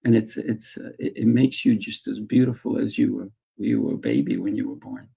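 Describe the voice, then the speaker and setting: A man who teaches martial arts, speaking in a calm, soft guiding way as a podcast guest. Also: on the low side, brisk, gravelly, slightly rough. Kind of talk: conversational interview talk.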